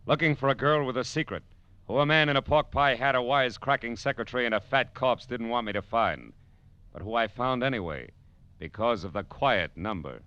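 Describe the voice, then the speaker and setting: A man's voice: mid-range voice, animated, a little fast, sharp tone, no echo. A man playing a wry, hardboiled private detective in 1940s radio drama style. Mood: punchy, hardboiled. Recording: dull vintage radio recording.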